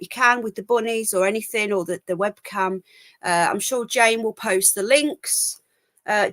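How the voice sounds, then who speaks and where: Woman, stuffy with a cold, higher voice, rambles frankly to her live stream from home on a laptop mic. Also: fairly bright tone. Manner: chatty, rambling, frank, swears. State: full of cold. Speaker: livestream call-in show host. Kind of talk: casual live stream chat.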